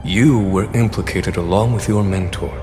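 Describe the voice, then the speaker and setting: An actor playing a calm, shrewd male general in a game, speaking in a measured, politely persuasive way. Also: low voice, close to an everyday voice. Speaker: military general in a game cutscene. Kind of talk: voiced game dialogue. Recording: reverberant game mix with music. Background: orchestral game music.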